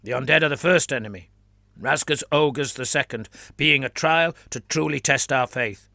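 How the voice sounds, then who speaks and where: A man's voice: medium pitch, moderate pace, creaky, pushed and bright, no echo, clean studio sound. Man voicing a fanatical flagellant captain. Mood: fervent. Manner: fervent, declaiming. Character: gaunt fanatical male flagellant captain, fervent.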